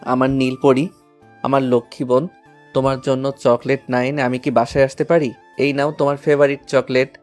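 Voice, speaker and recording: A man's voice: medium pitch, flat delivery, brisk, darkish tone, soft background music, close to an everyday voice. A man playing an affectionate, eager schoolboy and older brother in an audio story.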